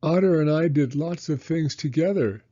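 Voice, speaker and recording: A man voicing a sulky, easily upset young boy character in a children's storybook, with a whiny, drawn-out delivery. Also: a little high, warm tone, no echo, muffled recording, pitched above the performer's own voice, lightly acted. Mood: tearful, sad. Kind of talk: children's storybook read-aloud.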